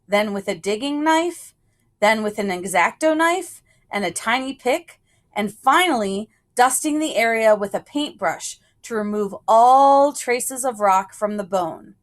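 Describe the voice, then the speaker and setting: A woman with a slightly high voice reads a picture book aloud for children. Her pace is very slow, and she sets phrases apart with deliberate pauses. The delivery is that of measured storytelling. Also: animated.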